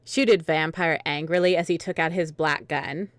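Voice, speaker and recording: A woman's voice: deep voice, fairly even intonation, moderate pace, no echo. A woman reading fan fiction dramatically and chatting casually with her co-readers between lines. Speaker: reader in a livestreamed group fanfiction reading.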